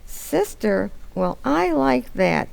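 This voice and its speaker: A woman's voice: fairly high pitch, very animated, slow, creaky, a little mellow, full character voice, poor audio. A woman acting a crabby, imperious old mother who scolds her grown son in a radio comedy. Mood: indignant, scolding. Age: older.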